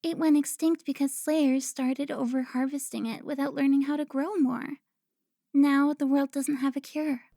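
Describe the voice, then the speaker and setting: A woman playing a seductive, haughty vampire informant in an audio drama, teasing with drawn-out, theatrical delivery. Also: high voice, steady tone, creaky, dark tone, dry recording, clean studio sound. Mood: teasing, smug. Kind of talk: cinematic audio roleplay acting.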